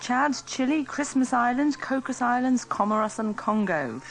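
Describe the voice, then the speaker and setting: A woman's voice: fairly high pitch, animated, moderate pace, creaky. A woman presenting on radio, chatting and reading out items to a co-presenter. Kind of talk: reading lists and chatting on air.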